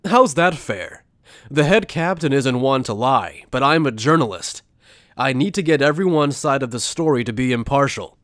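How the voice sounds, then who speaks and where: A man's voice: mid-range voice, expressive, moderate pace, a bit bright, no echo, clean studio sound, lightly acted. A man acting in an anime fan dub as an earnest, principled young officer who is also a journalist, speaking in a sincere, insistent way. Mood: earnest, challenging. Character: earnest male Soul Reaper lieutenant and principled journalist.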